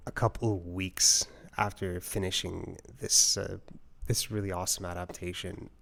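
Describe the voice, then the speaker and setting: Male podcast host, lowish pitch, informal discussion style, thinks out loud. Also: gravelly. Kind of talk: casual review chat.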